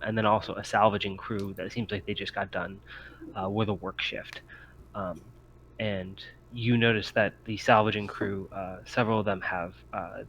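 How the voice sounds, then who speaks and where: A man with a fairly low voice runs a tabletop roleplaying game as game master. He improvises his descriptions in halting pieces. There is faint noise on the home voice-chat recording. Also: fairly bright tone.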